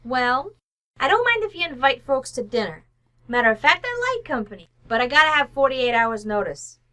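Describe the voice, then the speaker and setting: Woman playing a brash, high-pitched, bossy housekeeper. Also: very animated, moderate pace, pushed and bright, heavily acted. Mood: matter-of-fact, in charge. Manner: blunt, slangy speech with "ain't" and "gotta". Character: brash, bossy working-class housekeeper, blunt and unbothered. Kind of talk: old-time radio sitcom acting.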